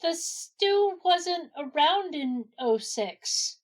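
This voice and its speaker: A woman playing a brisk, wry gnome adventurer in a comedy audio drama. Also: extremely high pitch, lively intonation, exaggerated voice. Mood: wry, curious.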